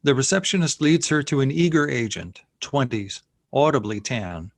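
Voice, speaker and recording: A man's voice: medium pitch, big pitch swings, moderate pace, dry recording, studio quality. A man reading scene headings and action lines as narrator of a screenplay table read. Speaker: narrator reading stage directions.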